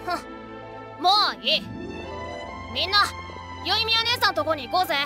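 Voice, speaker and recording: A woman voicing a brash, stubborn young village boy in a video game, with rough, defiant speech. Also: very high-pitched, big pitch swings, measured pace, heavily acted. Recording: background music under the voice.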